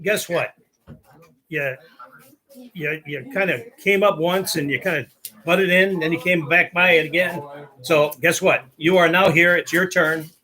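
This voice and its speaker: A man hosting a casual live talk show, slightly hoarse and losing his voice, upbeat and encouraging with his guests. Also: fairly high pitch, steady tone, a little slow, sharp tone, rough recording. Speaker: show host.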